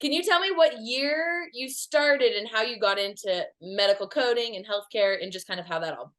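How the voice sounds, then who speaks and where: A woman runs a relaxed interview in a high voice. She keeps things upbeat and teases her guest in a friendly way. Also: moderate pace. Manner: upbeat, playful and teasing. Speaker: interview host. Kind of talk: casual interview.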